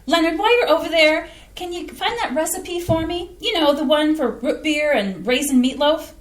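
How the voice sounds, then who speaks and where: An actress in a community staged reading playing a chatty, excitable Midwestern homemaker wife with a folksy Wisconsin accent. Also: female voice, high-pitched, normal speed, close to an everyday voice. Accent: Upper Midwest (Wisconsin). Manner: bubbly, folksy, excitable exclamations. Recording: echoey stage room.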